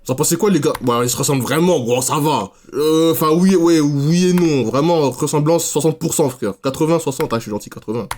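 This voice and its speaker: A man's voice: fairly high pitch, fairly quick, hardly any pauses, darkish tone, slight background noise. A young man chatting casually to his live audience as he reacts to a video, in slangy, exclamatory speech. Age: young adult. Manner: slangy, exclamatory street talk.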